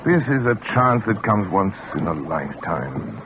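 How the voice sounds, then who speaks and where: An actor playing an obsessed, domineering scientist in a 1940s radio suspense drama, commanding, intense and clipped. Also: male voice, low-pitched, very animated, normal speed, background noise. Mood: hushed, intense. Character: obsessed, domineering male scientist experimenting on a living brain. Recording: muffled old 1940s radio recording, music behind.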